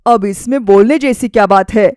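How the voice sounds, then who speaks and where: A woman's voice: fairly high pitch, normal speed, a little mellow, no room sound, clean studio sound, voice pushed down, natural voice. A woman voicing a curt, indifferent young husband in a story, dismissive and irritated.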